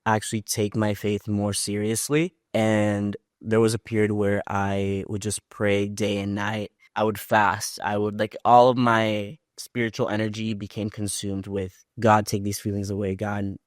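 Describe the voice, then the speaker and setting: A man from Puerto Rico speaks in a slightly low voice with little rise and fall. He tells personal stories conversationally as a podcast guest, reflecting and rewording as he goes. The recording is dry. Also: completely flat delivery, a little slow, hardly any pauses, dry recording.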